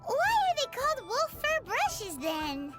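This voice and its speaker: An extremely high, squeaky little fairy chirps through video game dialogue. The childlike companion is cheeky and emphatic, with very lively pitch swings, over clearly audible music. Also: female voice, slow, exaggerated voice. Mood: eager. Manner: refers to self in third person, emphatic.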